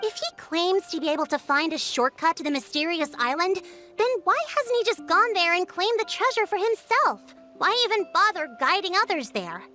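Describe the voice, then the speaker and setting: A very high-voiced, composed young chief. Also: female voice, expressive, bright, forceful tone, faint music behind, exaggerated voice. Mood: measured, explanatory. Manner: measured, explanatory, authoritative. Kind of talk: video game voice acting.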